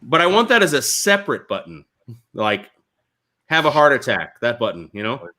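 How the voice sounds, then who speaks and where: Man telling stories in a bright, forceful voice. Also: mid-range voice, sing-song, moderate pace. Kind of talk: casual storytelling chat.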